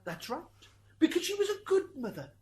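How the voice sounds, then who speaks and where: Woman acting a high-voiced, flustered defence lawyer. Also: wildly swooping pitch, fairly quick, warm tone, exaggerated voice. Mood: leading. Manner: hesitant, leading questions. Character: flustered, wheedling defence lawyer in heavenly court. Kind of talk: amateur student radio drama acting.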